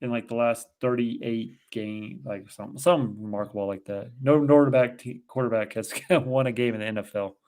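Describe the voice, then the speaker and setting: A man's voice: medium pitch, fairly even intonation, moderate pace, few pauses, a little mellow. A man with a Boston accent co-hosting a casual football picks show, rattling off confident predictions. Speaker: sports picks co-host. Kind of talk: casual sports betting chat.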